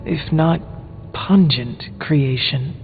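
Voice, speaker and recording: An actor playing a solemn, formal warrior hero in a video game, with stately, measured phrasing. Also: male voice, fairly high pitch, a little hiss or hum. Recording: reverberant, thin phone-like audio with music.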